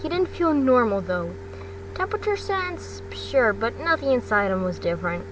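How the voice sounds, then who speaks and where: A woman narrating a story in an amateur audiobook style, with a halting delivery full of stumbles and self-corrections. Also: on the high side, big pitch swings, far from the mic, noisy background. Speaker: fan fiction reader.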